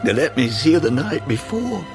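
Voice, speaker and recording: An actor playing a guilt-ridden older father in a radio drama, with a rough, husky voice and halting, broken phrases. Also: fairly high pitch, very animated, music in the background. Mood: anguished. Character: haunted older father, guilt-ridden, burdened by childhood secret.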